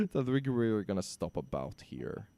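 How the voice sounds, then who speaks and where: Hoarse male game streamer, low mellow voice, lively pitch, thinks aloud while playing. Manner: talks to himself while building machines. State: raspy, sore voice. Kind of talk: casual gameplay commentary.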